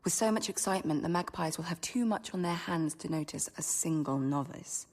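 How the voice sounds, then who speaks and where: Breathy rebellious young novice, played by a woman, reverberant. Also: on the low side, a little fast, darkish tone, natural voice. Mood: secretive excitement. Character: rebellious, scheming sixteen-year-old novice girl. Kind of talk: radio drama acting and inner monologue.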